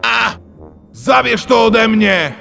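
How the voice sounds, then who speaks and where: A villainous dark god from a cartoonish game, whiny and exasperated. His high, gravelly voice swoops wildly. Music plays behind him. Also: sing-song, very slow, heavily acted. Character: petulant, theatrical villainous dark god who loathes green. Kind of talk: comic fantasy game cutscene acting. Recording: music under the voice.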